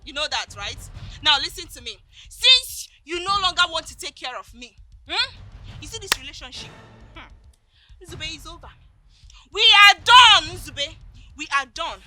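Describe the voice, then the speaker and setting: A woman's voice: sits high, lively intonation, moderate pace, over music. A woman with a Nigerian accent playing a girlfriend who mocks her boyfriend with sarcastic questions and dumps him. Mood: mocking. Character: sassy, mocking girlfriend dumping her man.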